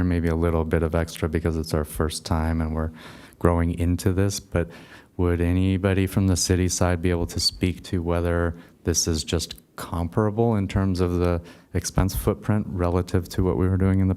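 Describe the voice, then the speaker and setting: A man with a very low, smooth voice speaks reflectively at a table microphone. His pitch stays even, and he comes across as self-aware. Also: moderate pace. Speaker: committee member. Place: city meeting room.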